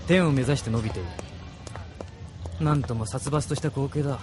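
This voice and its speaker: A man's voice: mid-range voice, close to an everyday voice. A man playing a cynical, commanding military officer in a Japanese audio drama, speaking in a world-weary, dramatic way. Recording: noisy street and vehicle ambience behind.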